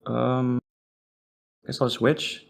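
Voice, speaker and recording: A young man streaming a game live, reacting excitably and swearing as he plays. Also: mid-range voice, drawn-out words, creaky. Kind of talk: live gaming commentary.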